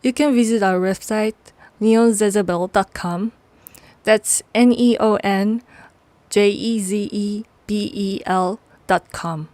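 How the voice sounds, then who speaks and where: A woman announces a podcast's credits from a script. Her voice is medium in pitch and her delivery is orderly. The recording is dry, with hardly any room around it. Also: fairly even intonation, slow, a little mellow, no room sound.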